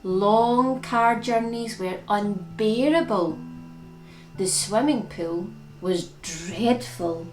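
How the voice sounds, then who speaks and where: A woman's voice: mid-range voice, drawn-out words. A woman reading a picture book aloud for young children as storytime host, expressively and with dramatic emphasis on key words. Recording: roomy echo with plucked guitar music.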